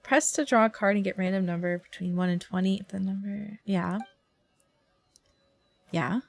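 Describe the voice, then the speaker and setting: A woman's voice: medium pitch, moderate pace, vocal fry, faint music behind. A woman livestreaming a game, reacting casually and expressively, often muttering to herself. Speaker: live streamer.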